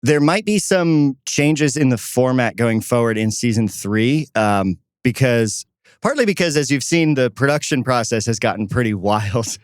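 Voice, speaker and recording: A man's voice: medium pitch, lively intonation, normal speed, smooth, dry recording, studio quality. A man talking casually and conversationally as co-host of a podcast.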